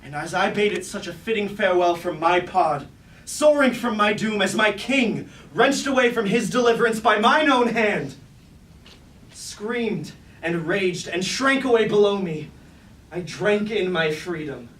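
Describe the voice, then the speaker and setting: A man's voice: sits high, a little slow, a bit bright. A man playing a proud, bitter rebel robot warrior in a staged tragedy, declaiming grandly in an echoey room. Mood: brooding. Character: proud bitter rebel robot warrior, ambitious traitor turned self-crowned king. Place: indoor room at a live staged reading. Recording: echoey room from far off, noisy.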